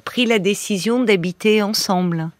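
A woman hosting a late-night radio call-in show, calmly asking probing questions and summing up her caller's story. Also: mid-range voice, moderate pace, dry recording. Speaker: radio host.

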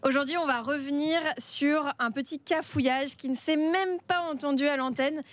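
A woman's voice: sits high, normal speed, no echo. A woman hosting a casual behind-the-scenes podcast chat. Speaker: podcast host.

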